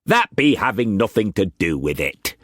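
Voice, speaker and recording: A man voicing a coarse, boisterous old male sea captain with a rough dialect. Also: lowish voice, very animated, moderate pace, a bit bright, dry recording, studio quality. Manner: coarse, bellowing, dialect-heavy.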